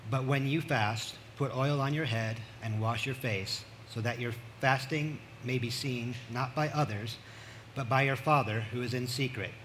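A man's voice: medium pitch, normal speed, fairly bright tone, a little hiss or hum. Male reader, mid register, Bible verses, slight background ambience. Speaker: volunteer scripture reader. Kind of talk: reading scripture aloud.

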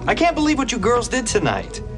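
A man's voice: extremely high pitch, huge pitch swings, brisk, over music, full character voice. A man playing an earnest middle-aged professor dad in a TV sitcom, firm but awkward. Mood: stern, disappointed. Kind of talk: sitcom acting.

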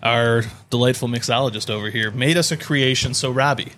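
A man's voice: mid-range voice, fairly quick, fairly bright tone, a little hiss or hum. An American man co-hosting a podcast in casual banter, tossing in joking, quippy remarks. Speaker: podcast co-host.

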